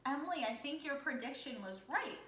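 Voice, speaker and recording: Lively high-voiced woman reading a children's story. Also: brisk. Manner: expressive, encouraging, often asking questions. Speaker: teacher reading aloud. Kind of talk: interactive children's story read-aloud. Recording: echoey room with faint noise.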